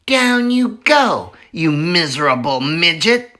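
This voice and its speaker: Storybook villain farmer, grown man, cruel and bullying, taunting in a high shout, put on by a male reader. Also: sing-song, takes it slowly, exaggerated voice. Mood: menacing.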